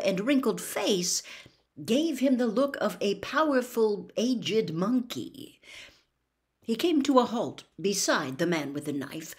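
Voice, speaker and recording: A woman in her sixties narrating a storybook expressively, reading aloud at home. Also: mid-range voice. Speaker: audiobook reader. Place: small home room with bookshelves, indoors.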